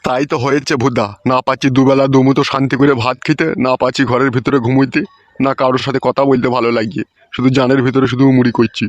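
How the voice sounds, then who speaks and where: A man's voice: mid-range voice, level tone, fast, dry recording, highs cut off, close to an everyday voice. A man voicing an aggrieved, boastful old village husband in a comic cartoon, cursing and grumbling in a rural West Bengal accent.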